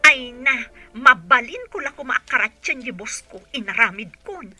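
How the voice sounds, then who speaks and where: A woman playing an excited young friend who shares gossip. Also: fairly high pitch, normal speed, full character voice. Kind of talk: radio drama acting.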